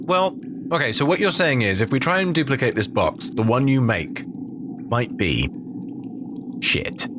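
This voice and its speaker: A man playing a tabletop game, brainstorming a plan in a casual, halting, improvised way. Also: mid-range voice, lively intonation. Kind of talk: casual improvised roleplay planning. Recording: clear background ambient noise.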